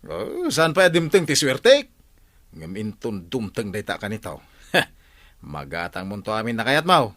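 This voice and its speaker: A man's voice: lowish voice, huge pitch swings, measured pace, a bit bright, dry recording, studio quality, full character voice. A man playing a stubborn, lazy gambling husband and father in an Ilocano comedy radio drama, blustering and complaining. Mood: grumbling, defiant.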